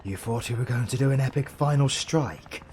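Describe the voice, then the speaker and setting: A man's voice: medium pitch, moderate pace, very noisy, close to an everyday voice. A British man playing a theatrical supervillain in a romantic comedy audio roleplay, swinging between menace and exasperation at the heroine he is smitten with. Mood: pained, tender. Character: flustered theatrical male supervillain, smitten with his heroine rival.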